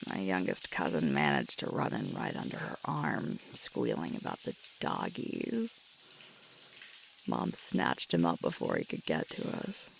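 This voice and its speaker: Sweet, weary alpha werewolf girlfriend, female performer for ASMR roleplay: slow, breathy, murmured delivery with very wide pitch swings. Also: huge pitch swings, full character voice. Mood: wry, relaxed reminiscing. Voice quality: breathy, often dropping to a whisper. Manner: halting, intimate, with sighs and murmurs. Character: sweet, playful young alpha werewolf girlfriend, tired and sore. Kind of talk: intimate ASMR audio roleplay storytelling. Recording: loud hissing shower water throughout. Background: running shower water.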